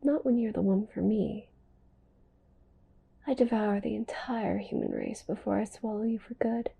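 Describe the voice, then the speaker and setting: A woman voicing a giant possessive witch girlfriend in an intimate ASMR roleplay, whispery and breathy, cooing and teasing with a menacing edge. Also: mid-range voice, wildly swooping pitch, moderate pace, highs cut off, heavily acted. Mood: coy, possessive.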